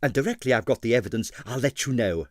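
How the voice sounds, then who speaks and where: A man's voice: mid-range voice, expressive, fast, no room sound, studio quality, lightly acted. A man voicing a self-important amateur sleuth in a storybook, earnest, boastful and confidential in manner.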